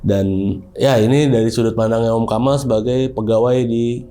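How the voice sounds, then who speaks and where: Laid-back young podcast host. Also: male voice, mid-range voice, normal speed. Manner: relaxed, chatty, uses Jakarta slang. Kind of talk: casual interview chat. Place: studio.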